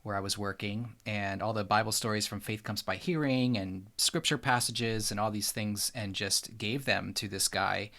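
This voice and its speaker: Guy with low but bright forceful voice, telling a casual story. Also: fairly even intonation, normal speed, hardly any pauses. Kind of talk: casual conversational anecdote.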